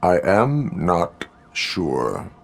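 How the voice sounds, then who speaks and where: A stoic cyborg lawman from a video game. The voice is low and clipped, formal and machine-like. Some background noise sits behind it. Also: big pitch swings, extremely slow, vocal fry, heavily acted. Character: stoic cyborg police officer, formal and dutiful.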